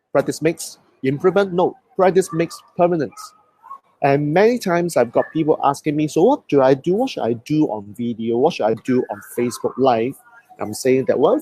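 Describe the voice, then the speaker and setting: A man with a Hong Kong accent, a native Cantonese speaker, coaching viewers through a live stream. His voice is mellow and sits at a middling pitch. He talks in a motivational way, asking his audience questions and answering them himself. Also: breathy edge, dry recording, poor audio. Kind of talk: Facebook Live talk.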